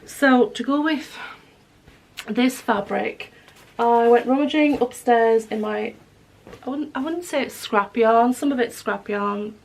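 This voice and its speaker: A woman vlogging about knitting and sewing at home, her voice hoarse and croaky from a cold, rambling with lots of ums and self-corrections. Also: fairly high pitch, slow. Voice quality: croaky, husky. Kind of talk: casual vlog chat. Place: home living room with wood stove.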